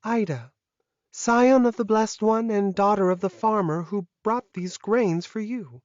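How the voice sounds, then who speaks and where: A woman's voice: medium pitch, unhurried, darkish tone, no echo, highs cut off, close to an everyday voice. A woman voicing a mischievous, idealistic little peasant girl in a fantasy audiobook, earnest and proud. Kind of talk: audiobook character dialogue.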